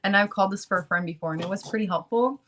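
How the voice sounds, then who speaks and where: A woman in her late twenties who just woke up, talking earnestly and haltingly, with many ums and you-knows, partly reading resources aloud. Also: medium pitch, a bit bright, a little hiss or hum. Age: late 20s. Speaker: guest speaker on a channel about fighting child protective services.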